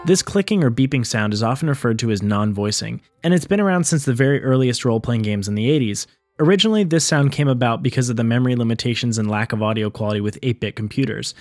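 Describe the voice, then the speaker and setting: A man hosting an explainer video, reading scripted narration in a clear teaching structure. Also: medium pitch, animated, fast, faint music behind. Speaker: video essay host on game sound design.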